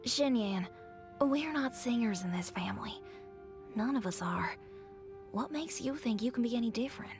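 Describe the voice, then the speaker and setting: Exasperated mother, high-pitched, lively intonation, game dialogue, music. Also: normal speed. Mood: dismissive. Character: exasperated, dismissive mother of a young girl. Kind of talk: game voice acting. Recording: clear music behind the voice.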